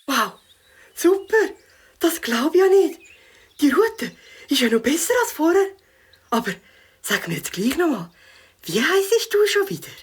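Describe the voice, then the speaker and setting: A woman's voice: high voice, sing-song, a little slow, pauses often, a little hiss or hum. A woman voicing a hapless, dramatic catfish with a Swiss German accent in a children's audio play. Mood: amazed, grateful. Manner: theatrical, exclamatory.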